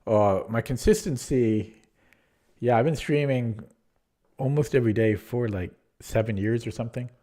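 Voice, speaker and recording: A man's voice: mid-range voice, moderate pace, warm tone. A man losing his voice, reviewing his chess game aloud in a casual, hesitant way with frequent ums and restarts. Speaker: chess streamer.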